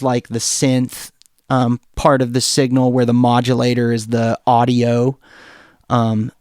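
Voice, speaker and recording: Male tutorial presenter, informal and keen, mid-pitched clear voice, unscripted, very little room sound. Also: a little mellow, dry recording, clean studio sound. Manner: informal, enthusiastic, thinking aloud. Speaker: music production tutorial presenter. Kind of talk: casual software demo, talking off the cuff.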